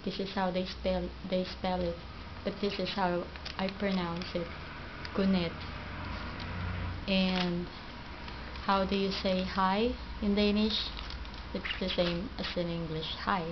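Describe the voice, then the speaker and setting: A Filipino woman whose first language is Tagalog, teaching basic words slowly and repeating each one twice. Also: on the low side, level tone. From Philippines. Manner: slow, halting, repeats each word twice. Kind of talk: home video language lesson. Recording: dull lo-fi home video with background noise.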